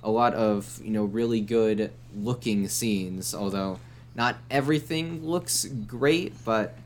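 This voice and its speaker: Measured young man with a lowish voice. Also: darkish tone, a little hiss or hum. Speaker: guest, anime reviewer. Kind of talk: casual chat.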